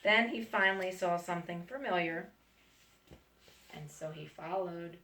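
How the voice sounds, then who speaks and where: Lady reading a kids book out loud, gentle asides, bit of rasp, bright tone, roomy echo. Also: fairly low pitch, unhurried. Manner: gentle, adds friendly remarks to young listeners. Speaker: school staff reading to students. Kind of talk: reading a picture book aloud with chatty asides. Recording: echoey room, far from mic, faint noise.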